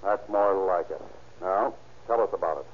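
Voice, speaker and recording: An actor playing a relentless police interrogator in an old radio crime drama, firm and accusatory. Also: male voice, deep voice, normal speed, close to an everyday voice. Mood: controlled prompting. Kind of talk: 1940s-50s radio crime drama acting. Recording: dull, hissy old-time radio recording.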